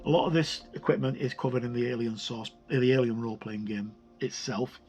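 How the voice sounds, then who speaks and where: A man presenting an informal, unscripted walk-through review of a tabletop game book, with a rambling, halting delivery. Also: medium pitch, a little mellow. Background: background music.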